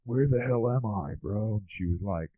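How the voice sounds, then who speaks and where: A man's voice: fairly low pitch, normal speed, lightly acted. A man playing a clueless, foul-mouthed stoner dude who rambles in slang through a comic phone-in story. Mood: bewildered. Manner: slangy, rambling, says "dude" and "bro" constantly. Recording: tinny lo-fi telephone line.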